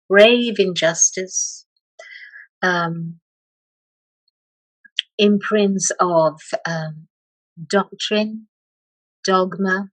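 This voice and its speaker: Slow careful woman from malaysia, lots of ums and restarts, dry close mic. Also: medium pitch, a bit bright, no room sound, rough recording. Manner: slow, hesitant, many pauses and restarts. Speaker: meditation teacher, guest. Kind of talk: reflective interview talk.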